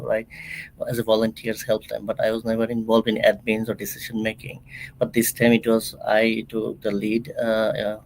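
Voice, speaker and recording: Gravelly, flat-voiced man. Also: fairly low pitch, a little hiss or hum, rough recording. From Bangladesh. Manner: halting, many fillers and restarts. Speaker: nonprofit founder, interview guest. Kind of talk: conversational interview storytelling.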